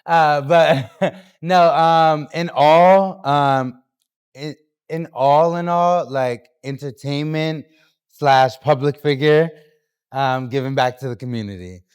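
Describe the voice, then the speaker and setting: A man's voice: on the high side, takes it slowly, smooth. A young man from New Hampshire talking loosely as a guest on a podcast, with lots of fillers and restarts. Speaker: guest artist. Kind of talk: casual podcast interview.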